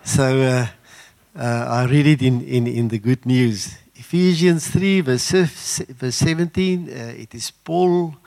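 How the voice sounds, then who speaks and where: An older man, an Afrikaans speaker, preaching a sermon in an earnest, halting way with many fillers. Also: medium pitch, vocal fry, dark tone. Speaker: guest preacher.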